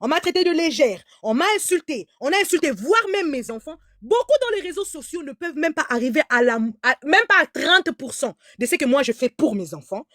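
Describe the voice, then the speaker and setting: A woman's voice: sits high, a bit bright, no echo, clean studio sound. A woman giving heated live commentary in an emphatic, repetitive way, stammering as she restarts, whose first language is German. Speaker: online commentator.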